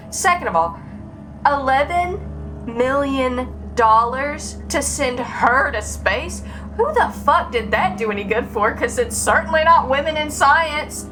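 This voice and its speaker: A woman with a mid-pitched voice chats as she streams a game. She rambles casually, complaining with sarcasm and salty language, over clearly audible music. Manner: sarcastic, profane, animated griping. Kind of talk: casual, rambling livestream chat.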